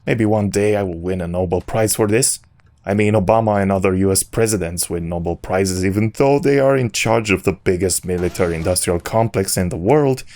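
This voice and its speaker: A man's voice: on the low side, a little mellow. A man whose first language is not English, hosting a solo audio log and giving opinionated commentary in a ranting way. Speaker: YouTube channel host.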